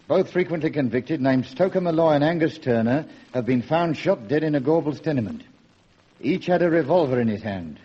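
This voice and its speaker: An actor playing a stern, sceptical police inspector in a radio drama, brisk and authoritative. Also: male voice, on the high side, warm tone, slightly rough. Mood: intent. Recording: dull old radio recording.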